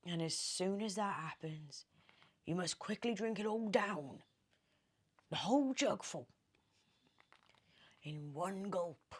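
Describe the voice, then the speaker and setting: A British woman voicing a mysterious, excitable old man in a storybook, speaking in a theatrical, commanding, drawn-out way. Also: fairly low pitch, slightly rough, pitched above the performer's own voice, full character voice. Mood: urgent, secretive. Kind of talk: animated storybook character reading.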